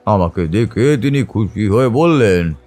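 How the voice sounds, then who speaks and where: A man narrating a literary first-person ghost story in a measured, dramatic storytelling manner. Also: lowish voice, lively intonation, darkish tone. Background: background music.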